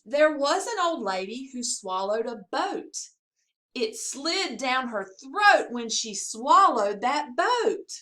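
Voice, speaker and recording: Lady reading a kids picture book, high voice, slow n sing-songy, super expressive storytime style. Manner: sing-song, expressive storytelling for young children. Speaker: assistant principal reading to pupils. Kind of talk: children's picture-book read-aloud.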